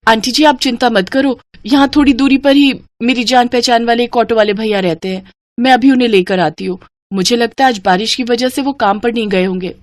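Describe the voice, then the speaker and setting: A woman's voice: on the high side, fairly quick, no echo, clean studio sound, pitched above the performer's own voice, natural voice. A woman voicing a kind, hardworking woman vegetable seller in a moral story, respectful and eager to help. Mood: reassuring, glad. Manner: respectful, reassuring, helpful. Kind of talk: Hindi moral story character dialogue.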